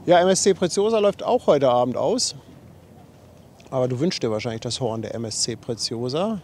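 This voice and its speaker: A man's voice: mid-range voice, lively intonation, a little fast, a little mellow, breathy edge. A man with a slightly runny nose from a cold, chatting casually as a livestream host and reading out viewer messages in a chatty, self-deprecating way. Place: outdoors on a harbour riverbank.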